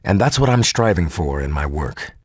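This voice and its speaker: Male scientist, low husky voice, formal and articulate, game audio-log monologue, very dry close sound. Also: lively intonation, normal speed, darkish tone, no room sound. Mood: earnest, hopeful. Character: earnest male geneticist turning cold and militant.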